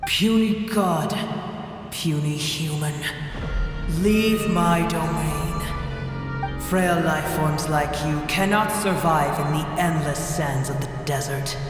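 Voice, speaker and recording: An old sick hateful dragon, haughty n slow, massive echo, game music behind. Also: mid-range voice. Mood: scornful, menacing. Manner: haughty, slow, grandiose pronouncements. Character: ancient, gravely ill, hateful desert dragon, proud and menacing. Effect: huge reverberant monster echo. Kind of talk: video game voice acting. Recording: cavernous reverb, loud game music.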